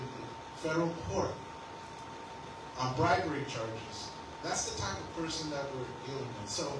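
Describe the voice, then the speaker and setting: Man, council member at a public forum, emphatic, slightly high voice, heavy echo, noisy hall. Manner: emphatic, conversational, seeks agreement with "right?" and "okay?". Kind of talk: campaign forum answer. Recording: echoey hall, distant mic, noisy.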